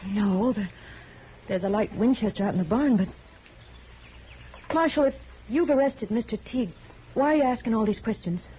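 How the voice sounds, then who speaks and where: An actress playing a polite, uneasy young prairie farm wife with a hesitant manner, in 1950s radio western style. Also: female voice, on the high side, animated, normal speed, pauses often, natural voice. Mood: anxious, defensive. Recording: muffled, faintly noisy vintage radio recording.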